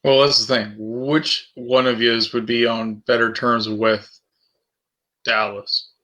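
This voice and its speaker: Very bright, forceful-voiced man chatting. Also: mid-range voice, little pitch movement, slow, low-quality recording. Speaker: tabletop game player. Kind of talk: casual table chat.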